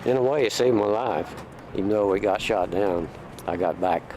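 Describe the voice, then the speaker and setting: An elderly American man in his late eighties, a veteran recalling the past in a halting, plain-spoken way. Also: on the low side, dark tone. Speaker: war veteran being interviewed. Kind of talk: unscripted recollection. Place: in and around an old airplane. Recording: noisy aircraft location sound.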